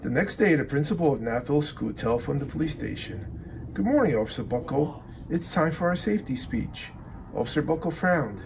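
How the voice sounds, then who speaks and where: A man with a creaky, mid-pitched voice reads a children's story. His intonation swings widely, over faint background noise. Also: very animated, fairly quick. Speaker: police officer reading a children's book aloud. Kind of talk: read-aloud picture book narration.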